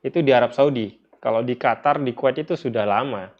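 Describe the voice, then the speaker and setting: Indonesian guy teaching a religion class, mid pitched voice, explains and repeats stuff, kinda muffled lofi audio. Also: fairly quick. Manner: explanatory, repeats phrases and poses questions to listeners. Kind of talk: informal lecture explaining a text. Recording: dull, lo-fi audio.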